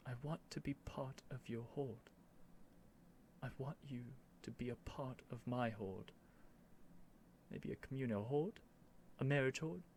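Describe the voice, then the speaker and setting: A lovestruck young prince, acted by a man in an ASMR roleplay, speaking at a middle pitch. He is earnest and nervous, stammering with feeling as he addresses his beloved dragon. Some background noise sits behind the voice. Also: darkish tone, natural voice. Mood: touched, adoring. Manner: halting, stammering, breathy sighs and whispers, emotional.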